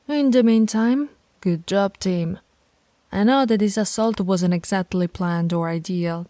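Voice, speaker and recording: A woman's voice: fairly low pitch, warm tone, no room sound, close to an everyday voice. A woman voicing a stern, teasing alpha werewolf pack leader in an audio roleplay, authoritative and taunting. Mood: brisk, commanding.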